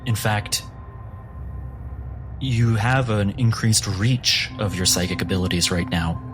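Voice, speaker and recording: A man narrating a tabletop game as game master, with a slow, deliberate storytelling delivery. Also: on the low side, steady tone. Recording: music bed behind the voice. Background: music.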